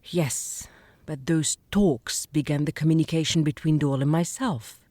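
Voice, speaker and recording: An actor playing an anxious, pleading parliament delegate in a radio play, speaking deliberately. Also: mid-range voice, fairly even intonation, warm tone, dry recording, natural voice. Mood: conciliatory.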